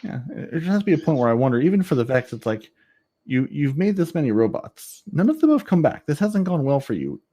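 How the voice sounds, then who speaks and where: A man's voice: fairly high pitch, big pitch swings, fast talker, mellow. Wry male podcast host. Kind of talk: casual gaming podcast chat.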